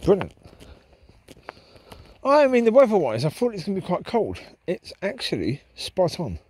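A man's voice: high voice, huge pitch swings, moderate pace, a little mellow, slightly rough, no echo, poor audio. A man talking casually to camera as he walks his dogs through a park at night, reflective and rambling. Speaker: vlogger walking his dogs. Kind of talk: casual walk-and-talk monologue. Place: park path at night, outdoors. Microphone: handheld camera while walking.

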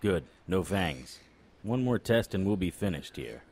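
A man playing a wry, world-weary detective narrating a noir audio drama in clipped, deadpan phrases. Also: low voice, measured pace, slightly rough, full character voice. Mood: brisk, sardonic.

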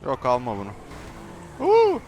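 A man's voice: medium pitch, wildly swooping pitch, a little slow, warm tone, muffled recording, a little hiss or hum. A man bantering casually while trolling in an online roleplay game, switching between mock politeness and slang. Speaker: gaming YouTuber.

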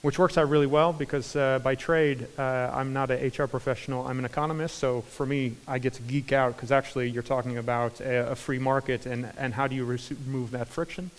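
Keynote speaker, male, 40s, American accent, energetic with many "uh"s, mid pitch, long hall reverb. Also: a little fast, few pauses. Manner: energetic, many fillers and restarts. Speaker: conference speaker. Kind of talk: keynote talk. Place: large conference hall stage. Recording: hall reverb from a large room.

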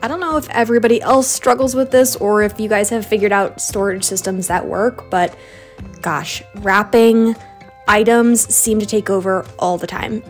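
Young female American vlogger for easygoing voice-over, average pitch, backed by music. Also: steady tone, normal speed. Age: young adult. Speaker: cleaning vlogger. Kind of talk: casual voice-over narration. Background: background music.

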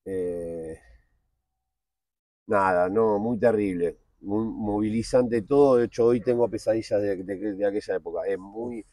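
A man in his forties with a Buenos Aires accent, a guest talking candidly outdoors in a park, blunt and slangy, often halting and restarting. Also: lowish voice, warm tone, dry recording. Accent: Buenos Aires Spanish. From Argentina. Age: 40s. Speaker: guest, TV producer. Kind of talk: candid casual interview.

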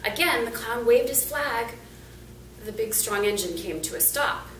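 Storybook reader: middle-aged woman, lively intonation, fairly high voice, roomy distant recording. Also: unhurried. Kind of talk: reading a children's picture book aloud. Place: small indoor room, seated. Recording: roomy, distant camera mic, faint noise.

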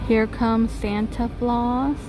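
A woman vlogger chatting casually as she films a store walkthrough on a handheld phone, reading out prices and laughing softly. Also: on the high side, steady tone, slow pace. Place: large retail store, indoors. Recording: store music over the voice. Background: holiday music playing in the store.

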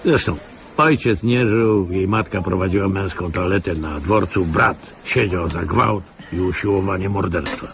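A man's voice: low voice, moderate pace, vocal fry, background noise. A man playing a world-weary, cynical old retired security officer in a radio play, sardonic and patronising. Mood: weary, guarded. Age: older. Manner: sardonic, patronising, chuckling asides. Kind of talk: Polish radio play acting.

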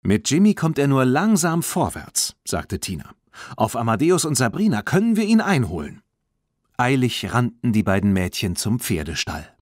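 A man narrating a children's audiobook in an expressive, dramatic storytelling manner. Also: medium pitch, normal speed, no room sound, clean studio sound.

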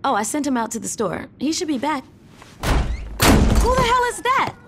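A woman's voice: sits high, sing-song, measured pace, full character voice. An actress playing a sassy, exasperated gangster's girlfriend in a video game, scolding and sarcastic. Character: exasperated, sassy female gang member's girlfriend. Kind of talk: video game cutscene acting. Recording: clearly noisy background.

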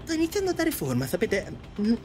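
A woman voicing a confused, halting man who has newly died, in an audio drama. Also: a little high, huge pitch swings, darkish tone, faint music behind. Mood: hesitant, searching. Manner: halting, bewildered. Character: confused, hesitant newly dead man, passenger on an afterlife train.

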